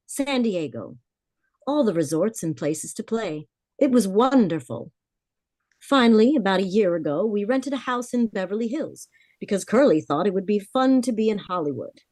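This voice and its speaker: A woman's voice: medium pitch, darkish tone, no echo. A woman playing a worried, frank young heiress of twenty-four in a vintage-style radio drama, confiding her story earnestly.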